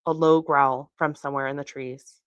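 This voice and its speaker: A woman reading from a novel aloud as its author, in an expressive storytelling style. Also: very deep voice, moderate pace, no room sound, lo-fi sound. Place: bookstore reading.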